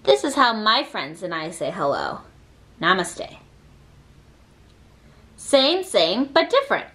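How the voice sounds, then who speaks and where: A woman reading a children's picture book aloud with expressive, deliberate phrasing. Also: medium pitch. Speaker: read-aloud reader.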